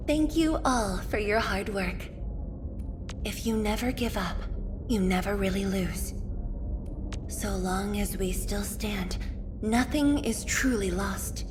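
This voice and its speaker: A woman voicing a composed, gentle scientist and leader in a video game, speaking with measured, formal poise. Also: mid-range voice, noisy background, close to an everyday voice. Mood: calm, thoughtful. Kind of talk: scripted video game character voice lines.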